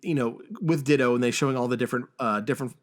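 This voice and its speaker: A man hosting a casual film discussion podcast, who speaks haltingly and often repeats words as he goes. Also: medium pitch, steady tone. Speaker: podcast host.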